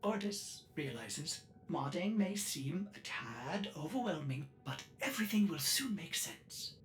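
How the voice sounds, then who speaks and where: A fussy game ship AI with a synthetic, processed voice that swoops eagerly. It is high and childlike in its devotion, with music behind it. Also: male voice, expressive, a little slow. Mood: helpful, instructing. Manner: theatrical, effusive.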